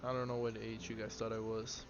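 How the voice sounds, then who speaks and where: A man's voice: lowish voice, monotone. Young male streamer, twenties, rambling chat over gameplay, noisy lo-fi audio. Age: 20s. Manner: rambling, halting, answers viewer questions aloud. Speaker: live streamer. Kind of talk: casual chat while playing a game. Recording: dull lo-fi stream audio with noise.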